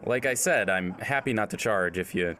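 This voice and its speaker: An actor playing a brisk, accommodating bartender in a period audio drama. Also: male voice, on the low side, background noise, close to an everyday voice. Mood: polite, doubtful.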